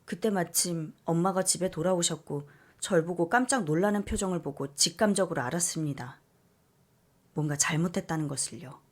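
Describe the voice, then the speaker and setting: 차분하게 사연을 읽는 젊은 여성. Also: female voice, fairly low pitch. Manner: soft, subdued reading. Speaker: story reader. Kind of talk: first-person story narration.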